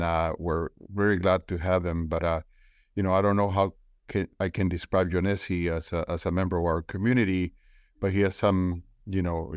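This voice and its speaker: A man from Peru hosts a conversation podcast in a very deep voice. He talks loosely and haltingly, often restarting his sentences and leaning on "you know" and "uh". The recording is dry and close. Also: a little mellow, dry recording. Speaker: podcast host, investment firm co-founder. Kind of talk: conversational interviewing.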